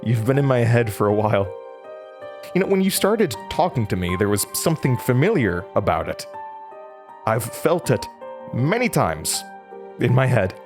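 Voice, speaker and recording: A man's voice: mid-range voice, big pitch swings, moderate pace, long pauses, music in the background. A young man in a horror audio drama playing a weary, defiant college student haunted by his past, candid and dryly resigned. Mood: accusing, firm. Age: young adult.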